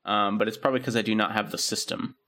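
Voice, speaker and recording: A man's voice: lowish voice, steady tone, fast, vocal fry, pushed and bright. A man co-hosting a podcast, chatting casually and rambling with many ums and restarts. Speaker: podcast co-host. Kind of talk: casual chat.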